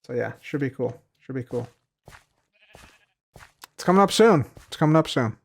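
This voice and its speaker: A man's voice: medium pitch, expressive, normal speed, gravelly, husky. A man chatting casually on a live stream, answering viewer questions, rambling and repeating himself with many fillers, his voice a little tired from heavy use. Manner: trails off quietly at the ends of phrases; reads viewer questions aloud from chat.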